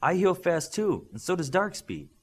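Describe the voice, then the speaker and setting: A young heroine with healing powers voiced by a man, earnest and matter-of-fact. The audiobook delivery is husky with plenty of pitch movement. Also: sing-song, moderate pace, full character voice. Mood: mildly teasing. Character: caring, matter-of-fact young female superhero healer.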